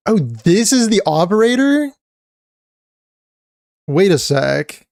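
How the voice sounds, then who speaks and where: A man streaming a live reaction to a game trailer, excited and chatty with many restarts. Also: high voice, slow pace, creaky, dry recording, clean studio sound.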